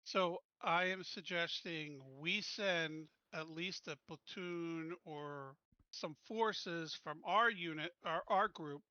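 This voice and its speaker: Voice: medium pitch, pushed and bright, smooth, no room sound, muffled recording. A tabletop roleplaying player speaking casually, joking around and stumbling over words.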